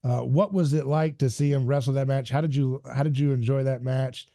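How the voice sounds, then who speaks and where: A man's voice: mid-range voice, steady tone, fairly quick, dark tone, dry recording, clean studio sound. A man hosting an interview, backing up his guest with frequent "yeah"s and asking questions with many restarts. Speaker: podcast host. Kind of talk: interview, casual chat.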